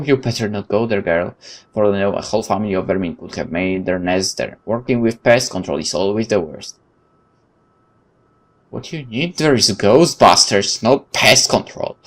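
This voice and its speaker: A man with a fairly low voice hosts a gaming video. He reads story text aloud and breaks off into informal, animated commentary of his own. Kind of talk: let's play commentary, reading game text aloud.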